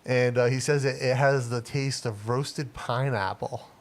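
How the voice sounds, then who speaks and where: A man in his forties co-hosting a casual livestream chat, relaxed and full of "like" fillers, laughing often. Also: medium pitch, a little slow. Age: 40s. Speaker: livestream co-host.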